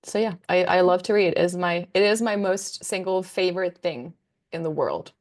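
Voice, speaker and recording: A woman's voice: fairly low pitch, normal speed. A woman in her twenties, a native Danish speaker, chatting casually about books as a guest, with frequent ums and likes. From Denmark. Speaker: guest, book content creator. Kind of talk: casual conversation.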